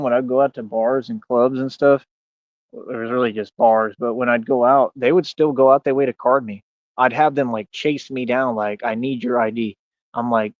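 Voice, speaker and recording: A man's voice: medium pitch, no echo, dull audio. A man in his thirties rambling casually on a livestream in a boastful, defiant way, with slangy talk full of "man" and "bro". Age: 30s. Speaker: streamer.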